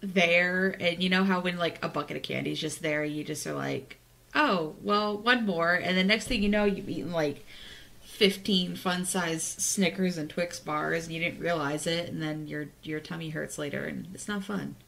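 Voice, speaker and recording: A tired young woman with a gravelly, fry-edged voice, co-hosting a podcast. She tells her stories in a relaxed way. Her pitch sits a little low. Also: moderate pace. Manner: relaxed, storytelling, laughs along. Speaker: podcast co-host. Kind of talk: casual chat.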